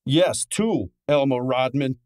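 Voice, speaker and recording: A man playing a brisk, wry detective's assistant who narrates a hard-boiled radio drama in a clipped, matter-of-fact way. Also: medium pitch, a little mellow, dry recording, clean studio sound, lightly acted.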